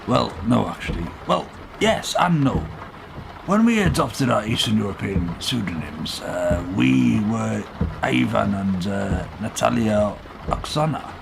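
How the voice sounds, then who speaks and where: A man's voice: on the low side, lively intonation, unhurried, gravelly, full character voice. A man playing a weary, guarded, protective Welsh father in a radio play, speaking politely and hesitantly in an old-fashioned way. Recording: noisy vehicle ambience behind voice.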